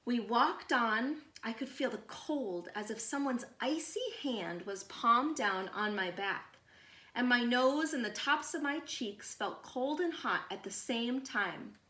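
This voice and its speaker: A woman reading a children's picture book aloud as a storyteller, expressive and measured, with deliberate pauses. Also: fairly high pitch, echoey room.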